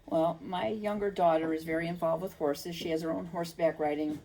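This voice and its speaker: Older woman with a creaky voice. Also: fairly low pitch, fairly even intonation, fairly quick, a little mellow, low-quality recording. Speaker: children's book author, interview guest. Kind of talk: unscripted interview answers.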